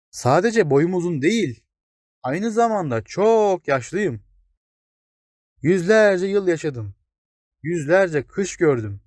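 A man's voice: on the high side, darkish tone, no echo, clean studio sound. A man voicing an old, wise, towering plane tree in a children's storybook, kindly but grave, explaining things patiently. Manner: explains patiently, exclaims and addresses small listeners. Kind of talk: children's storybook character reading.

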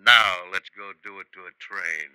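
An actor playing a cocky, menacing Old West outlaw leader in a 1950s radio drama, folksy and commanding. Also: male voice, deep voice, normal speed, heavy background noise, natural voice. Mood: cocky menace. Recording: bright, dry 1950s radio recording.

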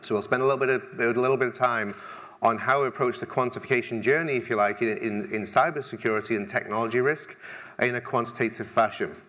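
A man's voice: medium pitch, steady tone, fast, fairly bright tone. A middle-aged man with an English accent giving a keynote talk on a large conference stage, conversational, with dry humor and frequent restarts. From England. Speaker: conference speaker.

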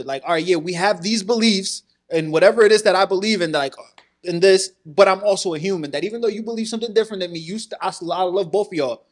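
Young male co-host, fast and loose, rambling and profane, studio mic. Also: high-pitched. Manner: rambling, restarts, swears often. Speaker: podcast co-host. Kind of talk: casual podcast chat. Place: dark studio room. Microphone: boom-arm podcast mic.

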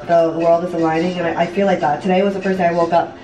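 A woman's voice: lowish voice, flat delivery, rapid-fire, muffled recording. A woman in her late twenties chatting candidly and casually to her viewers, rambling a little as she vlogs. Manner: candid, rambling, frequent "like" and "you know", some swearing. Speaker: vlogger and online business coach. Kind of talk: casual confessional chat to viewers while doing makeup. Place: bathroom at home. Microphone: camera a step or two away. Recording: echoey room, distant camera mic.

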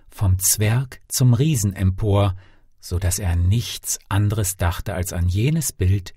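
A man's voice: mid-range voice, dark tone, breathy edge, no room sound, clean studio sound. A man reading a fairy tale as an audiobook narrator, theatrical and carefully articulated in his delivery.

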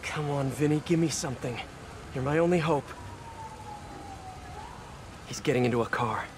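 An actor playing an earnest, wisecracking young superhero in a video game, quippy and often talking to himself. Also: male voice, medium pitch, normal speed, noisy background, lightly acted. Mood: focused. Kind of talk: video game hero dialogue. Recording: loud music over voice.

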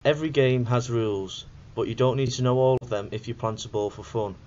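A man's voice: medium pitch, a little fast, a little mellow, soft background music. A young man reading scripted narration for a student documentary in a plain, instructional way. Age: young adult. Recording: dull lo-fi voice-over with faint noise.

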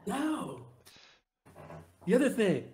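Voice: medium pitch, wildly swooping pitch, slow pace, darkish tone, voice pushed up. A player voicing a nosy spaceship crewmate in a tabletop game, coy, playful and needling. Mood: coy, teasing. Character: nosy, teasing spaceship crewmate, persistently prying.

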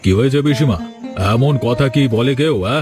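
A man's voice: mid-range voice, moderate pace, music in the background, close to an everyday voice. A man voicing an oily, domineering village boss in a cartoon, patronising and self-important. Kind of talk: cartoon character acting.

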